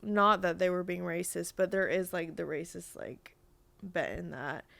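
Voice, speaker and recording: Podcast guest: young woman, gravelly fry, dry close sound, chatty and filler-heavy. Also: fairly low pitch, fairly even intonation, a little slow, a bit bright, no room sound. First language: Vietnamese. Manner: chatty, many fillers and restarts. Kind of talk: casual chat.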